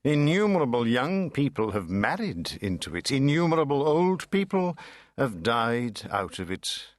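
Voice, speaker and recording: Animated male audiobook narrator. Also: medium pitch, a little slow, dry recording, studio quality. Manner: theatrical, precise. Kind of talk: dramatic literary narration. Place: dry studio.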